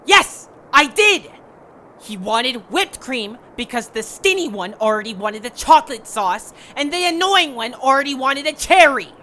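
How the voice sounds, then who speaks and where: A woman's voice: high-pitched, expressive, measured pace, pushed and bright, slight background noise, exaggerated voice. A woman voicing a bossy, irritable male creature who leads a group in an audio drama, scolding and blunt. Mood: accusing. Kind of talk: amateur audio drama acting.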